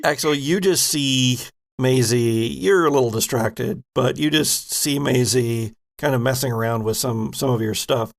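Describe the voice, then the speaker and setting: A man acting as game master of a tabletop roleplaying game, calling for rolls and describing what the players see in a steady, directive way. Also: medium pitch, a little slow.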